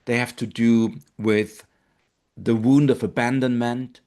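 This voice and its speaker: A man in his fifties from Austria, with an Austrian German accent, hosting a live online webinar in an earnest, gentle way with fillers and pauses. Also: mid-range voice, fairly even intonation. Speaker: webinar host, marketing director.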